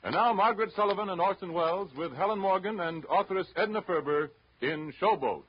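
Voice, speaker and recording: A man's voice: high-pitched, a little fast. An American man reading a scripted 1930s radio advertisement in a polished, persuasive manner. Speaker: commercial announcer. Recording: dull, noisy 1930s radio recording.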